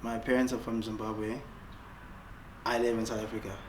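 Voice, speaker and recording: A man's voice: fairly low pitch, level tone, moderate pace, slight background noise. Casual joking young man, echoey and distant. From Senegal. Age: 20s. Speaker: interviewee. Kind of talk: casual documentary interview.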